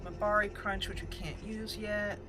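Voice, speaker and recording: A woman's voice: mid-range voice, steady tone, normal speed, over loud music. A woman hosting a gaming channel, chatting casually and animatedly as she plays and thinks aloud. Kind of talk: casual game commentary.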